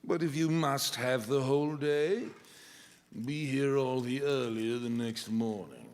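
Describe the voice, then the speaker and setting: A man's voice: mid-range voice, unhurried, darkish tone, natural voice. An actor in live radio theater playing a bitter, miserly old businessman, scornful and grumbling. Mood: grumbling, stern. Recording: echoey theater hall, live performance.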